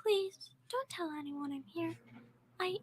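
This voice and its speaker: A woman's voice: extremely high pitch, unhurried. A young female performer playing a lonely, timid recluse in old-time radio drama style, with formal, careful phrasing. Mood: pleading, shy. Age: teenager. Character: lonely, timid reclusive woman mistaken for a ghost.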